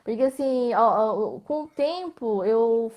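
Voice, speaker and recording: A woman with a Brazilian Portuguese accent, a visual artist speaking as a guest in a live online interview, reflective, often tagging her sentences with questions and letting them trail off softly. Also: on the high side, lively intonation, slow pace.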